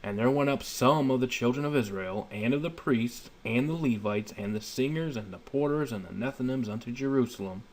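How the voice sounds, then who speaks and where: A man's voice: mid-range voice, a little fast, few pauses. A man in his thirties giving an informal, plainspoken Bible study lesson, explaining step by step. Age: 30s. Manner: plainspoken, step-by-step, repeatedly checking understanding. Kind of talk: informal explanatory lecture with scripture reading. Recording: noisy homemade voice-over recording.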